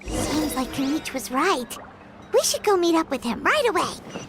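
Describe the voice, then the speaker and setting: A woman's voice: big pitch swings, heavily acted. A tiny chirpy sidekick girl. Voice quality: high, bright. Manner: cheeky, quick remarks. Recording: faint game music behind.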